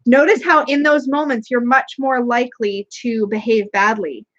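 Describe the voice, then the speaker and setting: A woman's voice: a little high, steady tone, normal speed, fairly bright tone. A woman co-hosting a casual live stream, talking frankly and chattily with jokes and swearing. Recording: dull lo-fi stream audio.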